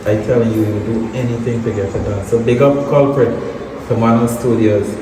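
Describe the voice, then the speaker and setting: A man's voice: medium pitch, background noise. Guyanese man, echoey distant room. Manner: relaxed, halting, with many fillers and restarts. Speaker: guest, soca artist. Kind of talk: casual interview.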